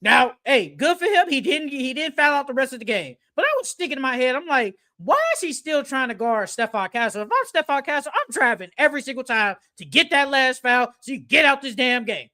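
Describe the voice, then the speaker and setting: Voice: sits high, expressive, brisk, few pauses, sharp tone. A sports podcast co-host in a casual basketball debate, speaking in an emphatic, opinionated, slangy way.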